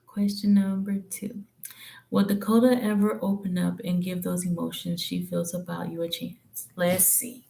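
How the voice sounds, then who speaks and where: A woman's voice: fairly low pitch, flat delivery, moderate pace, creaky, dark tone. A woman giving live tarot readings in a candid, slangy, chatty way, thinking aloud with hums and trailing phrases. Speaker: tarot reader. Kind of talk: casual live-stream reading and chat.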